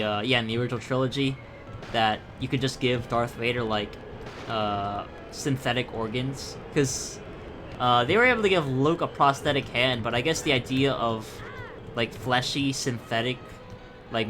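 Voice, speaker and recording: Young male let's-player, mid pitch, bright, chatty, swears often and jumps between tangents. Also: slight background noise. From Philippines. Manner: rambling, profane, self-mocking tangents. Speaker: gaming YouTuber. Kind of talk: casual gameplay commentary.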